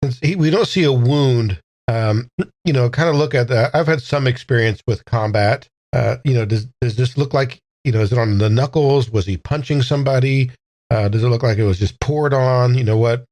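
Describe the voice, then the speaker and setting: A man's voice: medium pitch, normal speed, a little mellow, no room sound. A man casually playing a tabletop roleplaying game, thinking aloud in a tentative way.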